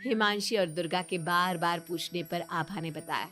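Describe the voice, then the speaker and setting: A woman's voice: lowish voice, fairly even intonation, normal speed, fairly bright tone. A woman storyteller reading a scripted moral family story as narrator. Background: background music.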